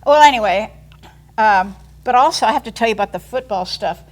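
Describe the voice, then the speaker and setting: A woman in her eighties, a line dance instructor, chatting eagerly and excitedly about her family in an interview. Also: on the high side, a little fast. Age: 80s. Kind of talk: casual interview chat.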